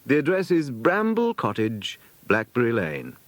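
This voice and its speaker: A kindly, sensible British mother speaks in a children's storybook, put on by a man reader. The voice is raised in pitch and lilting, obliging but firm. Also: huge pitch swings, unhurried, dry recording. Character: kindly, sensible middle-class mother of a schoolboy.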